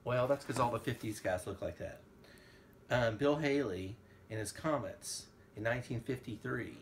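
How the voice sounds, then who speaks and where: Man, playful father, casual read-aloud to a child, mid-pitched voice, slightly noisy home recording. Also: normal speed, creaky. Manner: playful, breaks into song and asides. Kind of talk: casual read-along of a picture book with sung snippets.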